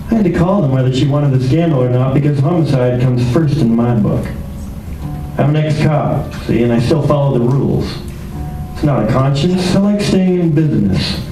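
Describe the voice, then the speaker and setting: A man's voice: mid-range voice, natural voice. A man playing a flippant, wisecracking ex-cop private detective in an old-time radio drama. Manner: flippant, wry, casual. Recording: roomy, distant microphone, noticeable background noise.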